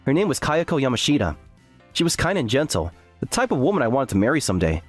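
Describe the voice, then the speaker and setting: The hapless, aggrieved student hero narrating an animated campus tale, acted by a man, voice rising and falling over quiet music. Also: mid-range voice, fast talker. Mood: weary. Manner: aggrieved, self-deprecating. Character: earnest, hapless male graduate student telling his story. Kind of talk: first-person scripted narration with acted dialogue.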